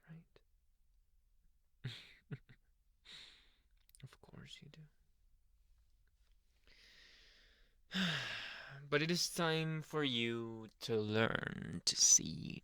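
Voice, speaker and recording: Male actor as young werewolf lover, creaky breathy murmur, slow, tender, possessive, anime ASMR roleplay, close dry mic. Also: mid-range voice, no echo, exaggerated voice. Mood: tender, intimate. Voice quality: breathy, often dropping to a whisper. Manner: slow, sighing, soft murmured endearments. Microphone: close microphone, dry.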